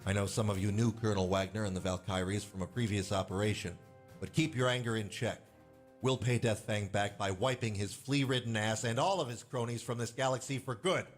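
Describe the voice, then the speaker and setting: An actor playing a stern, weary squadron commander giving grave, hard-nosed speeches to pilots in a space game. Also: male voice, on the low side, a little fast, close to an everyday voice. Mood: grim, resolute. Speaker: military commander briefing pilots. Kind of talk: video game voice acting, military briefing speech. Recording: music behind the voice.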